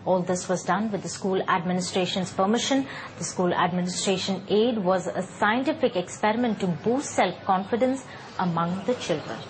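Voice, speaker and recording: A woman reading a formal news report in a measured voice. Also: mid-range voice, slight background noise, poor audio.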